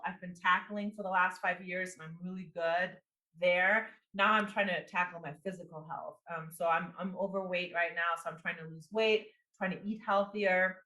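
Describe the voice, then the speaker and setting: Bright-voiced woman in her forties. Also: on the low side, echoey room, rough recording. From immigrant. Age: 40s. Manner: rambling, with many fillers and restarts. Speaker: guest, business coach and marketing executive. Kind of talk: casual interview talk.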